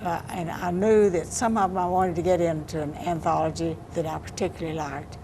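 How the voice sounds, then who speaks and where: An older woman speaks in a soft, unhurried way, giving plain answers about her writing. Her voice sits a little low. A clear hum of background noise runs under the recording. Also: fairly even intonation. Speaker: interviewed poet. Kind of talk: casual interview answers. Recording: noisy background.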